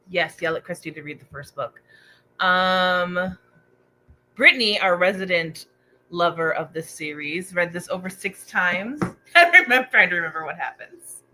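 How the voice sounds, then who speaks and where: Excitable woman hosting a book stream, chats with commenters, pitch bounces around, bit of background noise. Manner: excitable, chatty, exclaiming. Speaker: book discussion livestream host. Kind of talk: casual livestream chat, reading out viewer comments.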